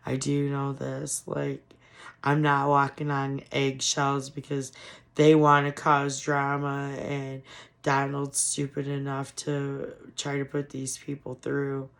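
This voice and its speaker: A woman giving casual, frustrated political commentary on her vlog, hoarse from losing her voice. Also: a bass voice, monotone, slow. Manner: frustrated, ranting. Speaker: commentary vlogger.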